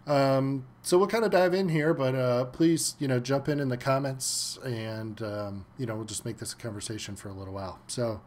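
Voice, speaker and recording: A man's voice: medium pitch, moderate pace, few pauses, a little mellow. A man hosting a casual live stream from home, slightly stuffy from a cold, chatting loosely with many fillers and greeting viewers by name.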